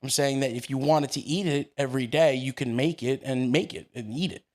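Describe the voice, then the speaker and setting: A man's voice: mid-range voice, fairly quick, darkish tone. An Italian-American man co-hosting a casual chat, argumentative, swearing casually and laughing often. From United States. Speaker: podcast co-host.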